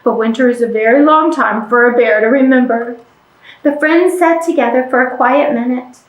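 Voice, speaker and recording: A woman reading a picture book aloud to children as a storytime host, with expressive, deliberate delivery. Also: fairly high pitch. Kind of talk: animated children's read-aloud. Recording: echoey room.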